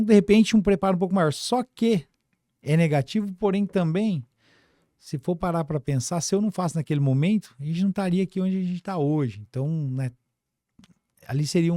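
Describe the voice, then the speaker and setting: Brazilian guy chatting on a podcast, mellow voice, really dry close sound, lots of tag questions. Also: fairly high pitch, no echo. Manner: colloquial, peppered with tag questions. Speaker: podcast guest, financial services business director. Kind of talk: casual podcast conversation.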